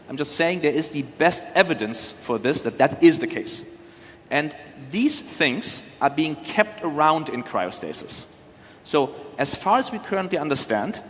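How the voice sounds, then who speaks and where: A man with a somewhat high voice speaks from a conference stage. He explains carefully, hedging his claims as a panel speaker does, and the big room adds a long reverb. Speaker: panel speaker, cryopreservation company representative. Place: large conference hall. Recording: reverberant hall sound.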